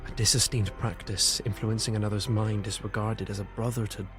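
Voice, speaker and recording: A man's voice: lowish voice, little pitch movement, a little fast, natural voice. An actor playing a brooding, suspicious young male knight in an audio drama. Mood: brooding, intent. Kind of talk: audio drama acting with brooding read-aloud monologue. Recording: music under the voice.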